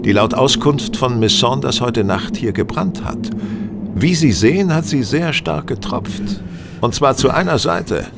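A man playing a confident, brilliant gentleman detective in an audio drama, speaking precisely and courteously, as if lecturing. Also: medium pitch, lively intonation, gravelly, very noisy. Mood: confident, explanatory. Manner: precise, measured, didactic, courteous. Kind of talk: German audio drama acting.

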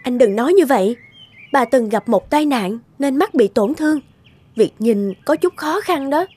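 High-pitched timid young housemaid. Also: female voice, animated, normal speed, a little mellow, husky. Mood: worried. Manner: polite, hesitant, deferential. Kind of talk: cartoon voice acting. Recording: faint music behind the voice.

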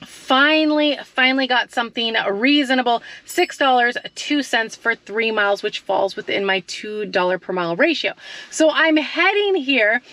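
A woman's voice: on the high side, lively intonation, moderate pace, pushed and bright, no room sound. A woman in her thirties chatting casually to camera from her parked car at night, often asking her viewers questions. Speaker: delivery driver vlogger. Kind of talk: casual vlog talk to camera. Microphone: camera mounted on dashboard.

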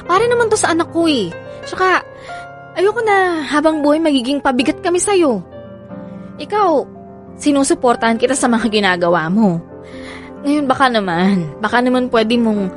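A woman playing a devoted, determined mother in a radio drama, coaxing and pleading expressively. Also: high-pitched. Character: devoted, determined young mother and frustrated mistress.

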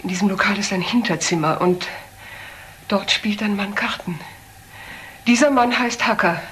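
A woman's voice: medium pitch, normal speed, fairly bright tone, lightly acted. Actress as a stern woman doctor, noisy recording. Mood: grave, accusing. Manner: precise, commanding, grave. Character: stern, well-to-do woman doctor, reproachful aunt. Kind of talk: dramatic radio crime play acting. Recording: noticeable background noise, old broadcast audio.

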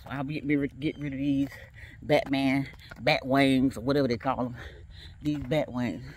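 A woman's voice: very deep voice, little pitch movement, normal speed, a little hiss or hum. A woman chatting casually to her viewers while she walks on an outdoor track, laughing often and talking straight to them. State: walking outdoors, a bit tired. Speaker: vlogger.

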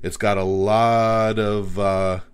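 A man's voice: fairly low pitch, level tone, slow pace, smooth. A man hosting a podcast, giving a casual, frank and opinionated movie review that rambles with restarts.

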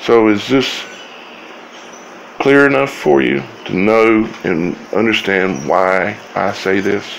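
Male host for informal scripture commentary; low-pitched, heavy vocal fry, halting phrasing, some background noise. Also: fairly even intonation, fairly bright tone, poor audio. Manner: halting, broken phrases with long pauses. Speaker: video host.

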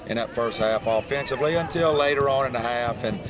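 An older man, a basketball head coach, answering post-game questions courtside in a calm, halting way with many restarts. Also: mid-range voice. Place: indoor basketball arena, courtside. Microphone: handheld reporter mic. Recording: crowd noise in a large arena. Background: arena crowd.

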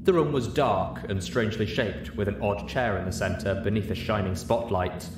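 A man narrating a story in an expressive audiobook style. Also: on the low side, moderate pace, background noise.

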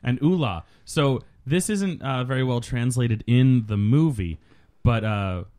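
A man's voice: medium pitch, unhurried, a little mellow. A man co-hosting a casual movie commentary, loose and joking, often trailing off mid-sentence. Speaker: podcast co-host.

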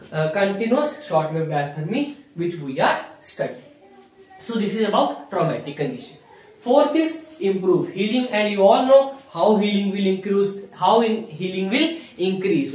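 A young man with an Indian accent giving a whiteboard lecture in an explanatory way, repeating phrases and posing questions that he answers himself. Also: sits high. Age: young adult. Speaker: physiotherapy teacher. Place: small indoor room in front of a whiteboard. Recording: echoey room, distant microphone.

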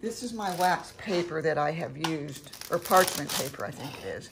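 A woman's voice: low-pitched, animated, moderate pace. An older woman chatting casually and rambling a little as she talks through a craft demonstration at home in her kitchen. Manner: rambling, chatty, self-correcting. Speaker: craft tutorial host. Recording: clearly noisy home recording with clicks.

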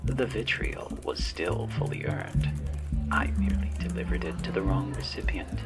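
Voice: low-pitched, lively intonation, normal speed, over loud music. A performer voicing a snide, exasperated cyberpunk handler in a whispered ASMR roleplay, with sardonic, condescending, formal wording. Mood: grudging, haughty.

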